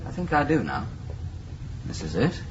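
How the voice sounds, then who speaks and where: A restless young spaceman from an old radio serial. His delivery swings widely in pitch, urgent and defiant. The recording is muffled, with clear noise. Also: wildly swooping pitch, normal speed. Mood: eager, defiant. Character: restless young male starship crewman, bold, defiant, curious. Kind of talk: 1980s British radio science fiction acting.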